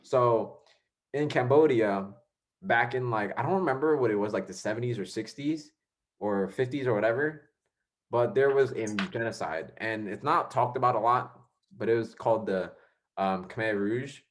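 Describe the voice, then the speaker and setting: A man's voice: mid-range voice, normal speed. A young man born in Vietnam, hosting a casual podcast chat in an easygoing, self-mocking way. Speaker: podcast host.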